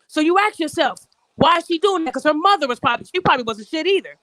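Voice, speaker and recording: A woman getting over a cold talks fast and bluntly, pressing her points with emphatic, profane opinions. Her voice sits high and quick, recorded dry and close with no room around it. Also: animated, a bit bright, dry recording. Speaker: podcast co-host. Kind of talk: opinionated live podcast talk.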